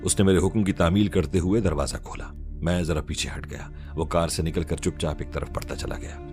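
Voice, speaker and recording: A man narrating a scripted drama story in a measured, storytelling manner. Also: sits low, steady tone. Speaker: audiobook narrator. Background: background music.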